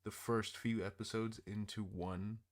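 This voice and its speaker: A man's voice: lowish voice, little pitch movement, unhurried, background noise. A man giving casual, slangy live reaction commentary as a streamer, with swearing and joking asides. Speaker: streamer reacting to horror videos.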